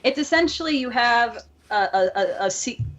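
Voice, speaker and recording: A woman's voice: a little high, expressive, normal speed, fairly bright tone. A woman co-hosting a podcast in casual chat, informal and opinionated. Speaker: podcast co-host.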